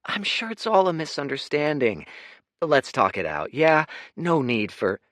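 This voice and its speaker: Guy playing a cheeky smooth-talking young rogue, breezy and wry, voice pitched up. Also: big pitch swings, moderate pace, sharp tone, dry recording, studio quality. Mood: flippant. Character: glib, flippant young man, quick-talking.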